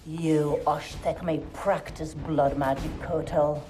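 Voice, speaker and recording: An actress playing a cold, gloating assassin villainess in a video game, haughty and menacing. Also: female voice, deep voice, steady tone, slow. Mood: haughty, taunting. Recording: dramatic music behind the voice.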